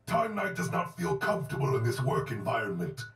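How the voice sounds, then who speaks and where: A man's voice: medium pitch, sing-song, fairly quick, creaky, a bit bright, soft background music. A man voicing a self-important, formal costumed knight in a video game cutscene. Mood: pompous. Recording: echoing, roomy sound.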